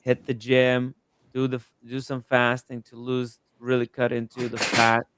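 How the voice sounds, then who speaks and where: A man's voice: mid-range voice, completely flat delivery, unhurried, noisy background. A man hosting a casual livestream chat, thanking viewers and guests with enthusiasm. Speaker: host.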